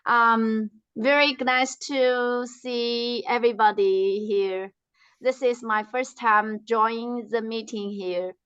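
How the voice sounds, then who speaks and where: Woman, first-time guest, hesitant and slow, crisp voice, muffled call audio. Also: on the high side, steady tone, fairly bright tone, no echo. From China. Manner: hesitant, simple phrasing with fillers. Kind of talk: halting casual greeting. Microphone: video call. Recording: dull lo-fi video call audio.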